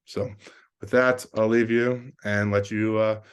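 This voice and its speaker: A man's voice: fairly low pitch, flat delivery, measured pace, smooth. A man giving an informal spoken review as a sales professional, earnest and rambling with plenty of business jargon.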